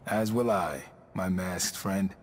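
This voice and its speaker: An actor playing a scheming, officious religious overseer in a video game, speaking in a formal, controlled way. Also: male voice, deep voice, slow, a little hiss or hum. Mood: composed, conspiratorial.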